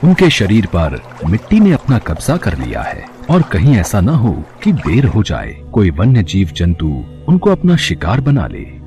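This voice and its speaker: A man voicing a calm preserver god in an animated devotional story, speaking gently and reassuringly. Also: fairly low pitch, unhurried, exaggerated voice. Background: music.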